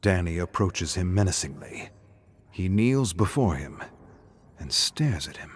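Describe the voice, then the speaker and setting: Low-voiced man narrating horror. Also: sing-song, measured pace, slightly rough. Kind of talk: dramatic scripted horror narration.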